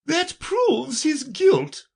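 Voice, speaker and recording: A very shrill, loud queen, imperious and accusing. She draws out her words in a piercing storybook voice. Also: wildly swooping pitch, extremely slow, creaky, heavily acted.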